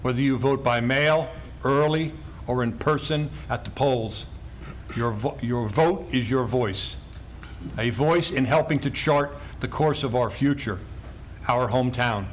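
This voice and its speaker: An older man delivers a prepared campaign statement in a formal, emphatic way, stressing each item in a list. His pitch sits in an ordinary middle range, and a faint hiss runs under the voice. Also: measured pace. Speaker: council candidate.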